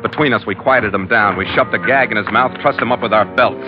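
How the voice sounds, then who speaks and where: Muffled hardboiled skipper played by an actor, music behind. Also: male voice, on the low side, brisk, lightly acted. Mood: tense, wry. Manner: terse, wry, world-weary. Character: hardboiled, weary ship's skipper narrating his troubles. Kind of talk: old radio drama narration and dialogue. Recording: muffled vintage radio recording.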